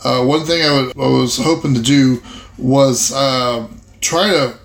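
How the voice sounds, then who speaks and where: A man playing a tabletop roleplaying game, chatting casually and thinking aloud in halting, repeated phrases. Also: mid-range voice, slight background noise. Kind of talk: casual game-table chat, improvising in character.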